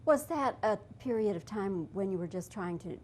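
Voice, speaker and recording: A woman's voice: mid-range voice, lively intonation, normal speed, a little mellow, a little hiss or hum. A woman TV interviewer asking short, friendly, probing questions.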